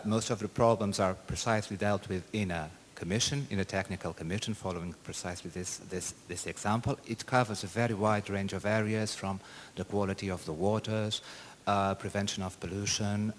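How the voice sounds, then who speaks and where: A man's voice: fairly low pitch, a little hiss or hum. A man, a delegate asking a question at a conference, hesitant with many fillers and repeats. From Portugal.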